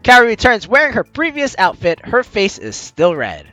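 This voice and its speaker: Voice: medium pitch, huge pitch swings, normal speed, pushed and bright, dull audio. A let's player giving jokey, teasing commentary and reading a game's story aloud, with game music behind. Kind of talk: let's play commentary and read-aloud narration.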